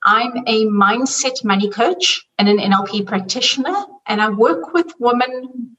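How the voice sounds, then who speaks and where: Distant off-mic sound, woman, middle-aged, South African accent, medium pitch, conversational podcast guest. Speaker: podcast guest, money coach. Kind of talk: conversational interview answers.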